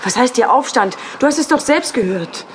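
A woman's voice: fairly high pitch, animated, moderate pace, slight background noise, natural voice. An actress playing a frightened young woman in a German horror audio drama, anxious and stammering with panic. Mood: anxious, stubborn. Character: frightened young woman lost in a haunted forest.

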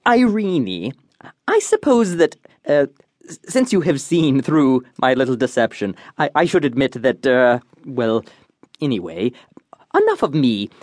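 A man's voice: mid-range voice, sing-song, measured pace, a little mellow, no room sound. An eccentric detective of comic radio drama. He declaims with pompous certainty, his voice leaping theatrically across a wide range. The sound is dry and close. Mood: flustered. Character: eccentric, pompous male detective, absurdly sure of his deductions.

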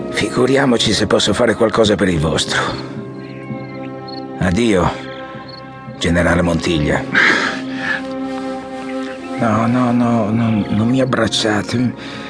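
An actor playing a weary, embittered, ailing general in an Italian audio drama, theatrical, resigned and testily commanding. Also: male voice, lowish voice, takes it slowly, long pauses, music in the background. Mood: weary, bitter.

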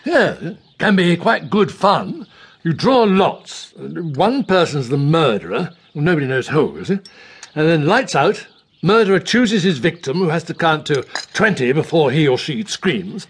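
A man's voice: fairly high pitch, sing-song, unhurried, a little hiss or hum. An actor playing a pompous older Englishman in a radio drama, hesitant and blustering, with clipped phrases. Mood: eager, explaining. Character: pompous older English husband, ex-colonial police type.

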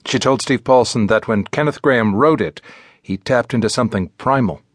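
A man's voice: medium pitch, moderate pace, breathy edge, no echo, clean studio sound. A man with an American accent hosting a radio program, reading scripted narration. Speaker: radio show host. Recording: music bed behind the voice. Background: instrumental music.

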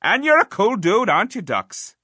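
A loud, doting grandmother played by a man in a children's audiobook, pitched up and bright. She gushes with cheerful, pushy emphasis. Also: sing-song, moderate pace, breathy edge, dry recording, clean studio sound, full character voice.

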